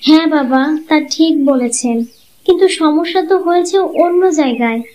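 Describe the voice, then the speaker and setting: A woman's voice: high voice, a little mellow, slight background noise, lightly acted. A woman voicing a young daughter-in-law in a cartoon, sweetly deferential and pleading. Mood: plaintive, worried. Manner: pleading, drawn-out worrying. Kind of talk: cartoon story dialogue.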